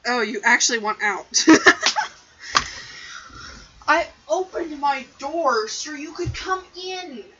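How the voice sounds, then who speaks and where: A woman's voice: sits high, lively intonation, takes it slowly, long pauses, a bit bright, dull audio, slight background noise. A young woman chatting casually on a game livestream in a playful, goofy way, recorded in her bedroom. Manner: playful, goofy, breaks into song. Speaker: game streamer.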